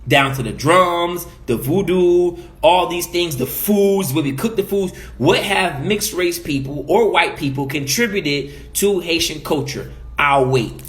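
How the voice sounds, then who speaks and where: Caribbean-born guy ranting on his vlog, kinda high voice, preachy, repeats himself a lot. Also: a little slow, hardly any pauses, a bit bright. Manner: preachy, emphatic, repeats points. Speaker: vlogger. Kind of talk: opinion commentary on comments.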